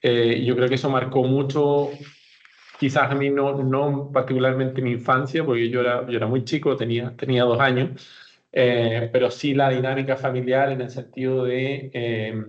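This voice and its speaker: A man's voice: medium pitch, flat delivery, normal speed, highs cut off. A man in his forties from Chile with a Chilean Spanish accent, answering interview questions conversationally and explaining with hesitations and self-corrections. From Santiago, Chile. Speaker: podcast guest, company executive.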